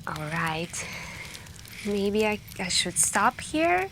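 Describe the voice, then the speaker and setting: Very slow, soft-spoken woman telling campfire stories. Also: medium pitch, sing-song. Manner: hushed, drawn-out, pausing, intimate, with stretches of whispering. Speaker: roleplay storyteller playing a girlfriend on a camping trip. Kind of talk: soft-spoken ASMR storytelling roleplay. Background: campfire crackling and night ambience.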